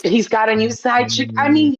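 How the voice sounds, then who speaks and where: A woman's voice: fairly high pitch, moderate pace, lo-fi sound. A woman from Poland, chatting casually as an opinionated call-in guest, emphatic and repeating herself to make a point.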